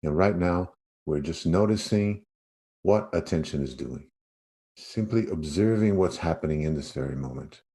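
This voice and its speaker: A man leading a guided meditation as a yoga nidra teacher, speaking in an unhurried way with spacious pauses. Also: low-pitched, expressive, dark tone.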